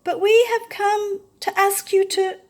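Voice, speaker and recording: Tiny nervous animal, acted by a woman, very high squeaky voice, eager but shy, picture-book tale. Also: level tone, a little slow. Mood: timid. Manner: squeaky, hesitant. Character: small, timid, eager animal called Basil.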